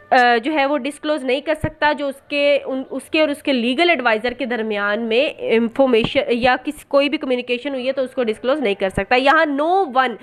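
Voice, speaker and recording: Female law lecturer, high voice, instructive explainer delivery with self-posed questions and English terms mixed in. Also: fairly bright tone. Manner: didactic, asks and answers own questions; code-switches into English terms.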